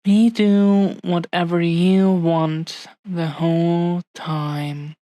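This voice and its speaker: A woman playing an adoring, possessive dragon-blooded fiancée in an intimate romantic roleplay audio, speaking soothingly with lingering pauses. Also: lowish voice, level tone, mellow, dry recording. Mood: coaxing, affectionate. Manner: slow, lingering, soothing, affectionate delivery with long pauses.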